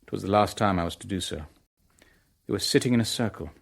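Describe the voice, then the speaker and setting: An actor playing a composed, wary businessman and shipowner in a radio drama, speaking in a measured, polite, understated way. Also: sits low, creaky. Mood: measured, uneasy. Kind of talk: radio drama narration and dialogue.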